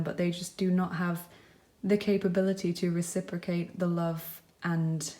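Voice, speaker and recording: A woman with a lowish, mellow voice talks through a tarot and charm reading. She speaks gently and reassuringly, musing aloud with frequent ums and likes. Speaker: tarot reader. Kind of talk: pick-a-card tarot reading.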